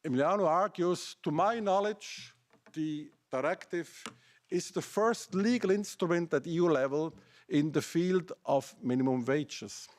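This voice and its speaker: A man's voice: a little high, unhurried. A middle-aged man with a German accent giving a seminar presentation, building his case through pointed rhetorical questions. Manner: argumentative, asks rhetorical questions. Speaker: academic speaker.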